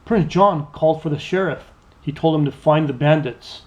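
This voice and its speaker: A man narrating a children's storybook aloud in an expressive, scripted read-aloud style. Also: a little high, normal speed, muffled recording.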